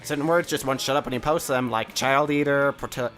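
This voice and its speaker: A player reading game text aloud with wry, deadpan asides. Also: medium pitch, a little fast, soft background music. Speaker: let's play narrator.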